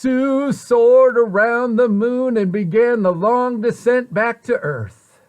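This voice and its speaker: A man reading a children's picture book aloud with expressive, deliberate storyteller phrasing. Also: extremely high pitch, smooth.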